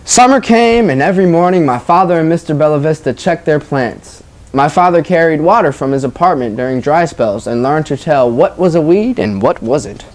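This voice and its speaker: Casting: classroom teacher, mid-pitched voice, storybook read-aloud and prompting questions for young pupils. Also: lively intonation, moderate pace. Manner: prompting children with questions, acknowledging their answers.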